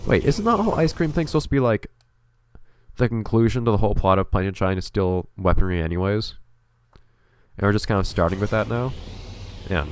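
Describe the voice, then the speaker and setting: A man's voice: on the low side, animated, brisk, a little hiss or hum. A man with a stuffy, congested voice giving dry, wry commentary while playing a video game. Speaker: let's play host. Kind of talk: casual gameplay commentary.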